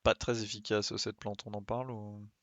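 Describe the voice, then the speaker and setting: Male game streamer, lowish pitch, dry sound, casual muttered running commentary. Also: flat delivery, moderate pace, a bit bright, no room sound. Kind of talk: casual live gameplay commentary.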